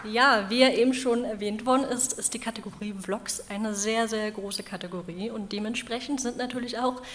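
Female award announcer, higher voice, earnest, stage delivery. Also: moderate pace, fairly bright tone, slight background noise. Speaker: guest presenter at awards. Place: theater stage.

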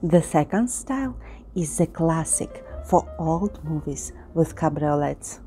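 Low, mellow-voiced woman tutorial host. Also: lively intonation, slow pace. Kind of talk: tutorial voice-over with history. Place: indoor studio with painted backdrop. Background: soft background music.

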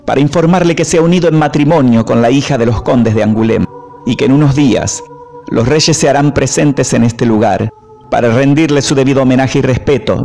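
An actor playing a loyal young medieval squire in a radio drama, formal and respectful. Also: male voice, medium pitch, gravelly, dark tone, natural voice.

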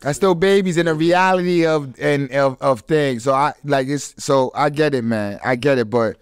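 A man's voice: mid-range voice, normal speed, smooth, dry recording. A man hosting a casual podcast chat, telling things in street slang, close on a studio microphone. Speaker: podcast host. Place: small studio with foam panels and curtains.

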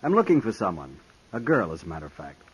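An actor playing a prim, pompous city gentleman with a clipped, haughty manner in a 1950s radio drama. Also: low-pitched, huge pitch swings, fast, slightly rough, full character voice. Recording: dull old radio broadcast.

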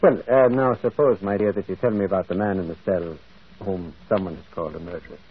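An actor playing a kindly, shrewd priest with an avuncular, musing manner in a radio drama. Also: male voice, low-pitched, fairly even intonation, fast, breathy edge. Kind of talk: 1940s radio drama acting. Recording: dull old radio broadcast.

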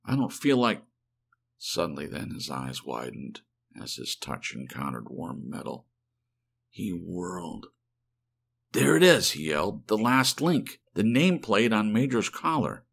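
A man's voice: low-pitched, big pitch swings, unhurried, vocal fry, fairly bright tone, full character voice. A man doing a weary, muttering druggist. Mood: excited. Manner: muttering, strained. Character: weary, desperate male druggist.

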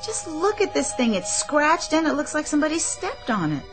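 A woman's voice: sits high, big pitch swings, brisk, poor audio. An actress playing an indignant, insistent woman customer at a department store in a radio play. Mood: upset, complaining. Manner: insistent, complaining. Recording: music playing behind the voice.

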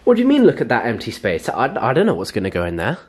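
A man's voice: medium pitch, fast, loud music behind the voice. A young man in his twenties, chatting casually and a little rambling to a handheld camera, first in a car and then at home. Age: 20s. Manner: chatty, rambling, animated with hand gestures. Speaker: fitness vlogger. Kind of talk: casual vlog chat. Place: inside a parked car, then a home garage gym. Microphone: camera mic at arm's length. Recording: noisy car interior with traffic outside.

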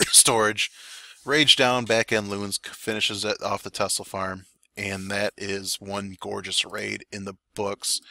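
Guy doing game war recap commentary, hoarse and raspy, kinda low but sharp tone, dry close sound. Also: no room sound. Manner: relaxed play-by-play with frequent fillers and coughs. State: voice getting raspy. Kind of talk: gameplay commentary.

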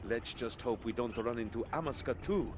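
A man's voice: mid-range voice, a little fast, background noise, close to an everyday voice. A man playing an earnest, defensive young adventurer hero in a video game.

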